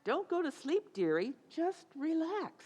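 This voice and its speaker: A woman's voice: very high-pitched, very animated, measured pace, darkish tone, faint music behind, exaggerated voice. A woman playing a wry, long-suffering, teasing wife in old-time radio comedy, homely and dry in her humor. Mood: sardonic.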